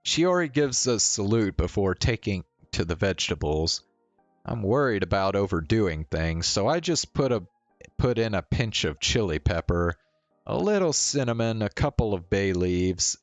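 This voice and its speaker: Guy reading a visual novel out loud, really slow and measured, kinda low, soft music under it. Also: expressive, dry recording. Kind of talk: narration of a visual novel, read aloud. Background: faint music.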